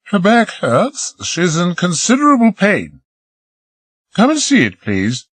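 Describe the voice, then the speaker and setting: A spirited young woman pressing hard to get her way, her voice pitched high and pushed forward, as a male narrator puts her on. The reading is close and dry, with no room sound around it. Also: huge pitch swings, unhurried, a bit bright, husky, no room sound, full character voice. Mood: insistent. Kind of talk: audiobook reading.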